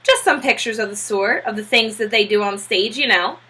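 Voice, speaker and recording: A woman voicing a brisk, eager male film businessman from a storybook, talking like an emphatic, informal salesman. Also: medium pitch, sharp tone, slightly rough. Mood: eager, persuasive.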